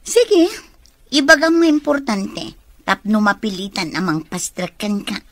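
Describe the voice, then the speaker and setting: Ilocano radio drama: conniving, greedy female accomplice, higher register, animated delivery, dry sound. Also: unhurried, no room sound. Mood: goading.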